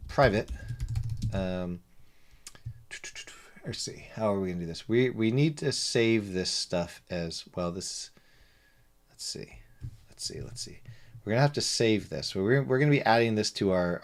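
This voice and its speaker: A man's voice: low-pitched, expressive, measured pace, long pauses. A man streaming live game development, talking to himself with many fillers and joking asides in a soothing voice. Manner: rambling, self-talk with many fillers and asides. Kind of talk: live coding stream, casual narration.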